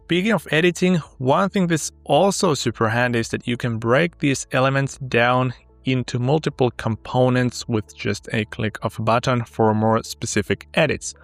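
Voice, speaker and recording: A man whose first language is Finnish, upbeat and walking step by step through a sponsored software tutorial. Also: medium pitch, normal speed, gravelly, dry recording. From Finland. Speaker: tech tutorial host.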